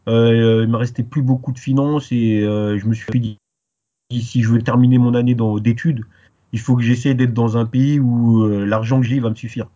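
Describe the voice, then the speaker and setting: French guy doing an interview, lots of euh and pauses, dark mellow voice, kinda muffled audio. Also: medium pitch, fairly even intonation. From France. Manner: hesitant, many pauses and fillers. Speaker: interview guest. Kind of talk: casual interview.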